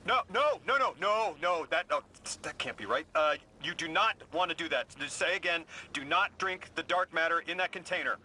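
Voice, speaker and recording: An actor playing a courteous, bookish translator in a video game, speaking in an eager, formal and slightly hesitant way. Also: male voice, fairly high pitch, moderate pace, hardly any pauses, sharp tone, a little hiss or hum. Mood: flustered, alarmed. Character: flustered, courteous, bookish male translator and emissary.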